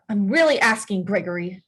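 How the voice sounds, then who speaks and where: A woman playing a blunt, exasperated, jealous wife with a husky voice in a screenplay table read. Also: medium pitch, animated, a little slow, a bit bright. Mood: probing. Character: sharp, hurt, jealous wife, furious in crisis.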